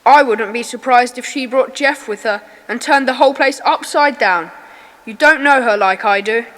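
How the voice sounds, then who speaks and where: A woman's voice: on the high side, normal speed, fairly bright tone, long reverb tail, natural voice, low-quality recording. A woman playing an earnest, puzzled schoolboy in a children's adventure audio drama. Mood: exasperated.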